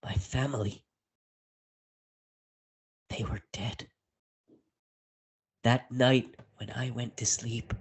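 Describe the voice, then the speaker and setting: A man narrating a dark first-person horror story in a deliberate, dramatic way, leaving heavy pauses. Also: medium pitch, a little hiss or hum. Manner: slow, deliberate, with dramatic pauses and hushed moments. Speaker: narrator of a dark fiction podcast.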